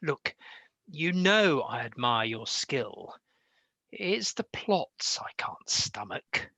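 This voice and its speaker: A man's voice: medium pitch, sing-song, slow pace, creaky, bright, forceful tone, no room sound, heavily acted. A British man acting an exasperated, serious Victorian composer in a radio play, speaking earnestly and formally. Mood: frustrated, earnest. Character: weary, exasperated male Victorian composer, serious and self-pitying.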